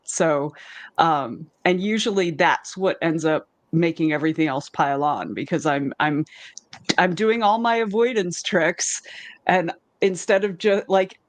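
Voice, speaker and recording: A woman's voice: lowish voice, normal speed, dry recording. A woman, an author and editor, talking frankly and candidly in casual panel chat, swearing now and then.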